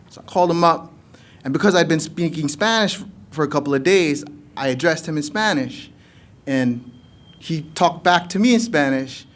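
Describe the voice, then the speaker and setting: A middle-aged man with an American accent, a poet speaking expressively to an audience at a public reading. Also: on the high side. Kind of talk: casual introduction and poetry reading to an audience. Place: indoor lecture room, at a podium. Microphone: podium gooseneck microphone.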